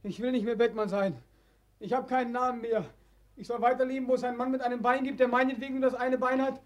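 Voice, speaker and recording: A man's voice: very high voice, steady tone, fast. A man acting a haunted, despairing returned soldier in a 1940s German radio play, brooding and intense, swinging between whispers and outcries. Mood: anguished, accusing.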